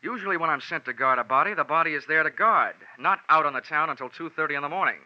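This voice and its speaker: A man's voice: a little high, fast, no room sound, natural voice. An actor playing a wry, flippant male insurance investigator in 1940s radio detective style, speaking with a sardonic manner. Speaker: lead actor and narrator. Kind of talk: 1940s radio detective acting, wry first-person narration. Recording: dull vintage 1940s radio recording, faint music.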